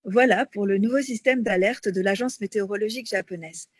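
A woman's voice: medium pitch, a little fast, husky, no echo. A woman co-hosting a radio magazine, presenting information and putting questions as an interviewer. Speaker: radio co-host.

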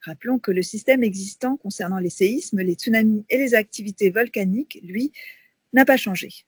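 Husky-voiced female radio co-host. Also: mid-range voice, moderate pace, no echo. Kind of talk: presenting and interviewing on a radio magazine.

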